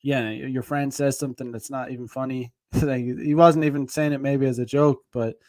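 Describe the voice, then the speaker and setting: A man's voice: medium pitch, fairly even intonation, fairly quick, darkish tone, no echo. Guy rambling on his stream, tons of fillers and laughs, mid voice, dry sound. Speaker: dating advice streamer. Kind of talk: casual rambling livestream talk.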